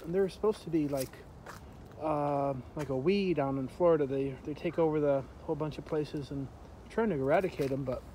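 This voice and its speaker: Man, light high voice, casual rambling tour guide, outdoor phone recording with noisy background. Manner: rambling, pointing things out with many fillers. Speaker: vlogger filming a park walk. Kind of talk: casual walking tour narration. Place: outdoors in a sunny park. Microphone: handheld phone camera. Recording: outdoor phone audio with loud background noise.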